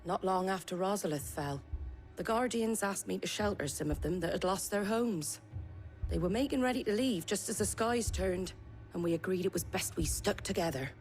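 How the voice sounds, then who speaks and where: A woman's voice: mid-range voice, animated, normal speed, darkish tone, natural voice, rough recording. A woman playing a tough, plain-spoken innkeeper in a video game, wry, blunt and matter-of-fact. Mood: weary, urgent. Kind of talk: dramatic cutscene acting. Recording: music behind the voice.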